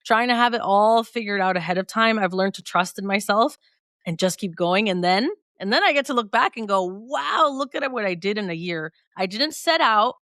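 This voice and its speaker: Upbeat woman guest, smooth dry voice. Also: mid-range voice, lively intonation, normal speed, a bit bright, no room sound. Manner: reflective and upbeat, laughs often mid-sentence. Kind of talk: conversational interview.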